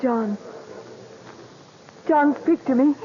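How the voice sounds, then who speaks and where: High-voiced anxious wife in old radio. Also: female voice, extremely slow. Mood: distraught. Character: anxious, devoted doctor's wife. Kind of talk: 1940s radio mystery acting. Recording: muffled, noisy 1940s radio recording.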